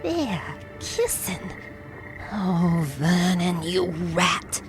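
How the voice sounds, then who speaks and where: A woman's voice: fairly low pitch, sing-song, extremely slow, heavily acted. A woman acting a suspicious, betrayed, easily startled wife in a melodramatic audio drama. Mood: shocked, bitter. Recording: loud music over the voice.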